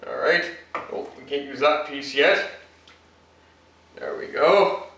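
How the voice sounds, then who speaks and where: A man's voice: on the high side, big pitch swings, slow, creaky, bright, forceful tone. A man hosting a casual home cooking demonstration, talking the viewer through each step in a chatty way with joking asides. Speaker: cooking show host. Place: home kitchen, indoors. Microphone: camera mic from across the room. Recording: echoey kitchen, mic far off.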